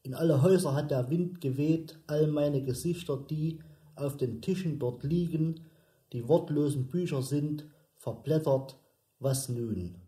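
An actor reciting as a brooding, lost poetic narrator in a radio play, in deliberate, broken phrases. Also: male voice, fairly high pitch, dark tone, close to an everyday voice. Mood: desolate. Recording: roomy, echoing sound.

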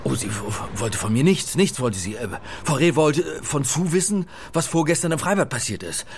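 A man's voice: on the high side, animated, moderate pace, slight background noise. A man playing a worried, flustered father in a radio play, hesitant and stammering as he talks. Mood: flustered, uneasy. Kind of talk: naturalistic radio play acting.

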